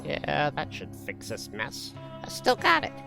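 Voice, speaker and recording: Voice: medium pitch, huge pitch swings, measured pace, vocal fry, music in the background, exaggerated voice. An actor playing a fussy, self-conscious Cupid who gripes in an exasperated, muttering and blurting way. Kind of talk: comic backstage griping.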